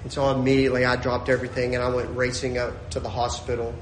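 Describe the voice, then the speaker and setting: A man preaching a sermon, his voice swinging from shouted peaks to a hushed storytelling tone. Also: mid-range voice, completely flat delivery, quick pace, echoey room, slight background noise. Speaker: pastor.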